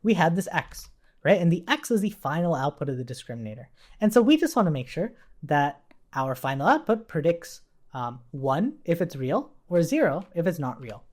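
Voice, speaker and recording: A man's voice: on the high side, sing-song, moderate pace. A man giving a casual coding tutorial as an instructor, informally thinking aloud as he works. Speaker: programming instructor.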